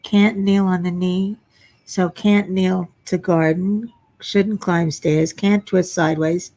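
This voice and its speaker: Chatty older woman, slightly raspy. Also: steady tone, slow pace, warm tone. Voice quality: low raspy voice at times from a throat frog. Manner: chatty, self-deprecating, reads chat names aloud. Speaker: livestream host. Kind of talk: casual chat reading viewer comments. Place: home workspace.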